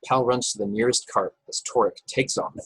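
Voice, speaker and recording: A man with a husky voice reading stage directions as narrator of a script table read over a video call. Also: mid-range voice, fairly even intonation, normal speed, no room sound, low-quality recording. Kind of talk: scripted narration with occasional stumbles.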